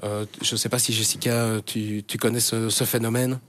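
A man's voice: fairly low pitch, level tone, moderate pace, a bit bright. An older man from Brussels, a radio guest talking candidly in a studio interview, full of fillers and restarts. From Brussels, Belgium. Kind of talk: casual interview chat.

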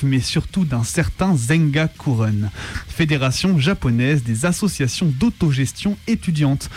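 A man's voice: fairly high pitch, a little mellow. A man reading a scripted documentary narration as a radio presenter. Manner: reading from a prepared text. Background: music.

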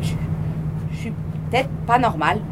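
A woman playing a high-voiced, troubled woman in her thirties. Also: animated, lightly acted. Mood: wounded. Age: 30s. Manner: colloquial, halting, with bitter asides. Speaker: stage actress. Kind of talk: street theatre monologue. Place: outdoors at a street arts festival. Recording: outdoor show recording with street noise.

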